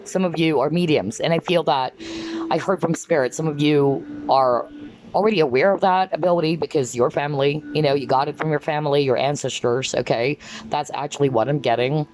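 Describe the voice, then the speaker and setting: A woman giving a rambling spiritual card reading, filling her talk with "like" and "um" as she pauses to pick up messages. Her voice is going hoarse. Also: deep voice, a little mellow, a little hiss or hum, low-quality recording. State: losing her voice. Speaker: tarot card reader.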